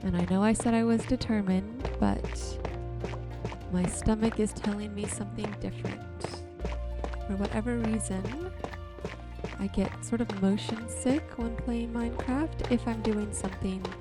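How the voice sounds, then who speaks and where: A woman doing casual, soft-spoken ASMR commentary while playing a game, murmuring to viewers in an unhurried way. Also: mid-range voice, fairly even intonation. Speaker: video creator and host. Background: music.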